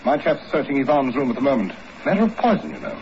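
A man's voice: medium pitch, sing-song, fast talker, a little mellow, slight background noise, lightly acted. A man playing a chipper, polite secret service agent in a 1950s radio drama, on a muffled old recording. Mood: breezy, polite.

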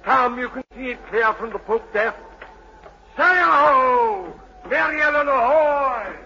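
A man's voice: very high-pitched, slow pace, bright, forceful tone, exaggerated voice. An actor playing a mad, obsessed old sea captain and domineering father, in salty archaic seafarer's speech, in an old radio drama. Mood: grimly triumphant, then frenzied hailing. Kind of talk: 1940s-50s radio drama acting. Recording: muffled old radio broadcast, faint noise.

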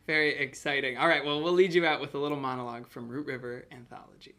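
A man's voice: medium pitch, fairly even intonation, fast talker, pushed and bright. A man hosting a podcast, talking casually as he interviews a guest and addresses listeners. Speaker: podcast host.

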